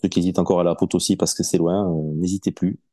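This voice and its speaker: A man in his forties, a podcast guest chatting casually, relaxed and hesitant with many fillers and restarts. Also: low-pitched, rapid-fire, no room sound, studio quality. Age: 40s. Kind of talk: casual interview chat.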